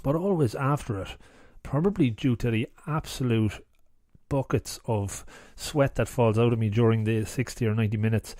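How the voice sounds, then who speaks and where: A man talks into a close podcast mic, his voice a touch hoarse and mellow in tone. He rambles through a casual solo intro, wandering off on asides and restarting his thoughts. The sound is dry, with no room around it. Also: mid-range voice, moderate pace, dry recording. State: slightly hoarse. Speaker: podcast host.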